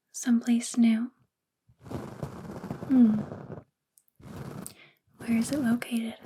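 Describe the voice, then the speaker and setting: Woman acting a controlling female boss, ASMR roleplay, high breathy whisper, very even intonation, coaxing, intimate, possessive. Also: a little hiss or hum, poor audio. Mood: softly probing. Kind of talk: soft-spoken ASMR roleplay, often whispering.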